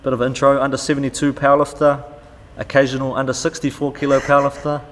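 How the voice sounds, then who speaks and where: A man's voice: medium pitch, completely flat delivery, fast talker, in a large hall, low-quality recording. A man hosting an interview, asking casual questions with frequent uhs and restarts. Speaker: interviewer, coach.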